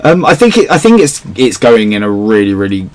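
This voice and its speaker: A man's voice: lowish voice, very animated, fairly quick. A man hosting a podcast in a casual discussion, giving his opinions in an analytical way. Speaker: podcast host.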